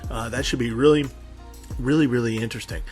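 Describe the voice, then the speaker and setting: A man's voice: medium pitch, steady tone, fast, darkish tone. Guy doing a chatty promo vlog, kinda rambly, trips on words, bit of music behind him. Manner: informal, stumbling with repeated words. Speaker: online convention panelist and show co-host.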